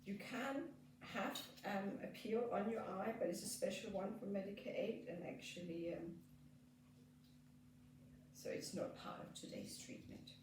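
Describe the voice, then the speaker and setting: A woman with a Danish accent, a skin therapist gently explaining a treatment as she works, with frequent fillers. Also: mid-range voice, a little slow, distant mic, noisy background. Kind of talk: treatment demonstration. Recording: echoey room, off mic.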